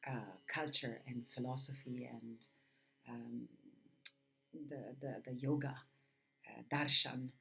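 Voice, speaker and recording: A woman yoga teacher reading a spiritual commentary aloud in measured, deliberate phrases. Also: a bass voice, fairly even intonation, gravelly, bright, forceful tone, rough recording. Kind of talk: read-aloud spiritual lesson with Sanskrit chanting.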